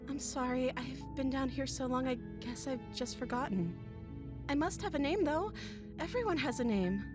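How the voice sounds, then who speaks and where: An actress playing a meek, gentle young captive princess in a game, timid and pleading. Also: female voice, high-pitched, animated, normal speed. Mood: timid, hopeful. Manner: soft-spoken, timid, pleading. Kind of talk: visual novel character acting. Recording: clear music bed behind.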